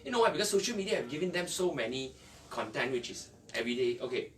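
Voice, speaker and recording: Distant-sounding Malaysian man, halting English. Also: mid-range voice, big pitch swings, a bit bright. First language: Chinese (Cantonese and Mandarin). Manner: halting, non-native English phrasing. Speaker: interview guest, entrepreneur and former radio announcer. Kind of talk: conversational interview answers. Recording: distant mic with faint background noise.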